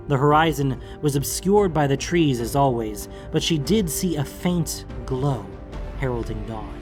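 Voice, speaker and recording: A man reading a fantasy story as a scripted, dramatic audiobook narration. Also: medium pitch. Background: background music.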